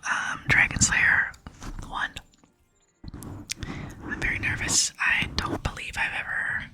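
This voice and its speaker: A woman hosting an ASMR gaming video, whispering chatty, gentle commentary to her viewers. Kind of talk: whispered ASMR game commentary and quest reading. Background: soft music.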